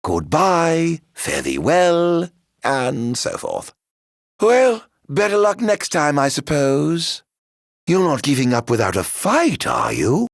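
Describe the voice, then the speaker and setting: Flamboyant middle-aged male game villain: mock-courteous and sardonic, husky, very unhurried, theatrical. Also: fairly high pitch, wildly swooping pitch, dry recording, studio quality, exaggerated voice. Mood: airy, mocking. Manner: flamboyant, sardonic, mock-polite, drawn-out delivery. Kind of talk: video game battle voice lines.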